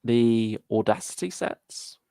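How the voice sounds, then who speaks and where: A man's voice: medium pitch, expressive, slow pace, dark tone, clean tone, no echo, clean studio sound. A man co-hosting a podcast, chatting casually and a little hesitantly about fan topics and listener comments. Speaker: podcast co-host.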